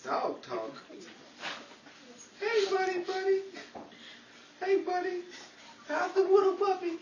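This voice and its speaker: A man playing a hapless, excitable character in a 1940s-style radio comedy, rambling and self-justifying. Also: very high-pitched, slow, far from the mic, exaggerated voice. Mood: nervous coaxing. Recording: dull, roomy, off-mic with faint noise.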